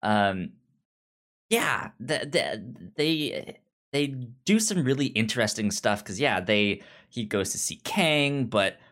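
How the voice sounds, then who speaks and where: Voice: medium pitch, very animated, fairly bright tone. A podcast co-host chatting casually about comics, stammering and often repeating the starts of words. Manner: halting, stammering, repeats word beginnings. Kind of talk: casual comic book discussion.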